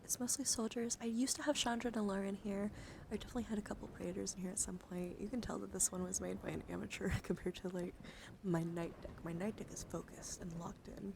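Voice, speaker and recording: A woman with a medium-pitched voice hosts an ASMR stream. She moves between soft talk and breathy whispering, often repeating words in a soothing way. Clear background noise sits behind her voice. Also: steady tone, fast. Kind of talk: ASMR livestream, soft chatter with viewers.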